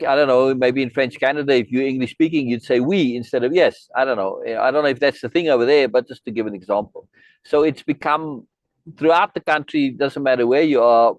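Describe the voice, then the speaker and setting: A man's voice: mid-range voice, dry recording, poor audio. Chatty middle-aged South African man. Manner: rambling, friendly, many restarts and asides. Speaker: host. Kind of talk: casual chat on a video meeting.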